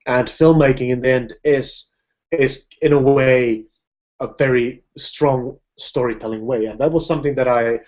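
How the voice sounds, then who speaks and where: A man hosting a live video-call chat, talking in a halting way with many restarts and easy laughs. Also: mid-range voice, darkish tone. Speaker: film director hosting a live video hangout. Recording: dull lo-fi video-call audio.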